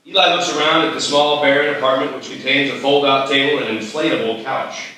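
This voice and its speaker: Bright-voiced man narrating in echoey hall. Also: mid-range voice, steady tone, a little fast. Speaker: stage directions reader. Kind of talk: scripted narration at live table read. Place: live festival stage reading. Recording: very echoey hall, distant mic.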